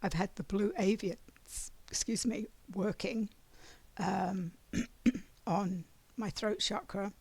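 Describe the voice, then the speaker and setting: A raspy-voiced woman giving a spiritual monthly oracle card reading, meandering and often clearing her throat. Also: medium pitch, steady tone, slow pace, pauses often, a little mellow, no echo.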